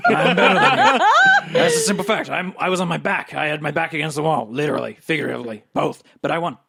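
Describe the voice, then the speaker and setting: A man's voice: a little high, huge pitch swings, fairly quick, vocal fry, bright, forceful tone, slightly rough, heavily acted. A man playing a brash, cocky morning radio host who preaches and brags in rambling, emphatic improvised patter. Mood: boastful.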